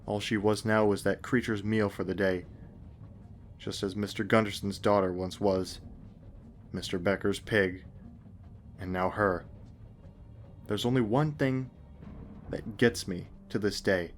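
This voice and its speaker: A man's voice: on the low side, steady tone, a little slow, pauses often. A man reading a scripted first-person horror story as narrator. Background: faint music.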